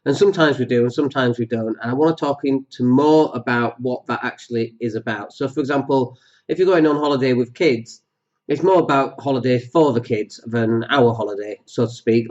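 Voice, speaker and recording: Man, podcast host, head cold, deeper voice than usual, rambling, lots of ums, dull lo-fi recording. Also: a little fast, darkish tone. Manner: rambling, jokey, full of fillers and restarts. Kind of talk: solo podcast monologue.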